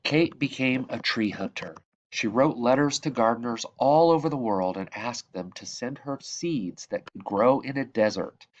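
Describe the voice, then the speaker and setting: A man reading a children's picture book aloud in measured phrases that drop softly at the ends of sentences. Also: medium pitch, lo-fi sound, a little hiss or hum. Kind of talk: read-aloud storybook narration.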